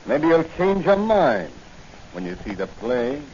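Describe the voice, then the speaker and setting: Cynical male gangster, curt and coldly commanding, medium pitch, vintage radio serial with dulled sound. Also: big pitch swings, measured pace. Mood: sardonic. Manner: curt, sardonic. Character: cool cynical male racketeer giving orders, coldly taunting. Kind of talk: 1940s radio acting. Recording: muffled noisy 1940 radio recording.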